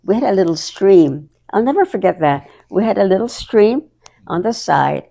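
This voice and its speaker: A woman's voice: medium pitch, animated, moderate pace, creaky, warm tone. A woman in her nineties with a Hawaiian accent, chatting and reminiscing as an interview guest, laughing often. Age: 90s. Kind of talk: reminiscing conversation.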